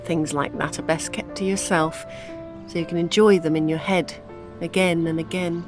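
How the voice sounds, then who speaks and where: A woman's voice: fairly low pitch, fairly even intonation, moderate pace, over music, close to an everyday voice. An actress with a British accent playing a grieving older widow and mother, wry and devoted, in an intimate audio drama. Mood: fond, wistful.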